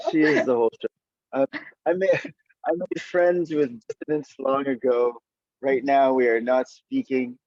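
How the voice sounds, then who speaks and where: Slow, halting man hosting, dull audio. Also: medium pitch, steady tone, darkish tone, dry recording. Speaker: open mic host. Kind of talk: casual appreciative hosting. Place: online video event. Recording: dull, dry online-call audio.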